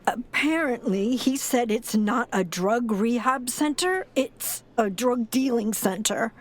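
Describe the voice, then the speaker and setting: An actress playing a sorrowful old grandmother with a halting, sighing delivery in an audio drama. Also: fairly high pitch. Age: older. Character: frail, grieving, tough old grandmother and former foster mother.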